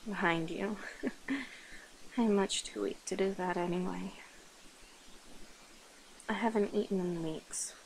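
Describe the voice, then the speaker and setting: Woman as a centuries-old female vampire, ASMR roleplay, very slow halting delivery, soft-spoken, shy, playful, protective, faint background noise. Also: medium pitch. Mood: teasing, weary. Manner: hesitant, soft-spoken, breathy whispers, nervous giggles. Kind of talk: ASMR roleplay, intimate one-sided dialogue.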